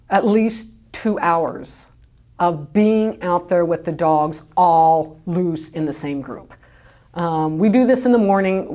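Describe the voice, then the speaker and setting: A woman's voice: lowish voice, a little mellow. A woman who runs a dog kennel, talking casually in a recorded interview with many fillers and restarts. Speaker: dog kennel owner, guest. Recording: home tape recording.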